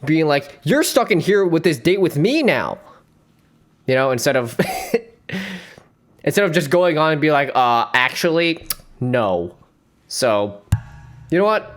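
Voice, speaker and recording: A male streamer talks through a dating show clip in a loose, joking, rambling way, his pitch jumping all over as he riffs. He keeps breaking into laughs. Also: sing-song, a little slow, long pauses. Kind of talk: joking reaction commentary.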